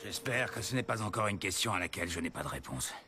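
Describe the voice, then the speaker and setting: An actor playing a wise, grave old blacksmith in a cartoon, speaking in a slow, philosophical manner. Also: male voice, low voice, lively intonation, over music. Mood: wry, weary. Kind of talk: French cartoon film dub.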